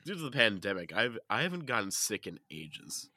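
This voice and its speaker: Congested man, very low and bright-toned, jokey podcast co-host, dry mic. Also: very animated, a little fast, dry recording. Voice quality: congested, nasal. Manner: joking, laughs often. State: stuffy nose. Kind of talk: casual chat.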